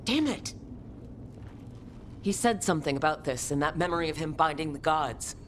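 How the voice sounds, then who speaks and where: A woman's voice: low voice, expressive, moderate pace. An actress playing a determined woman hero who leads a fantasy resistance, resolute and plain-spoken, in a dramatic game cutscene. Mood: frustrated. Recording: clear ambient noise behind the voice.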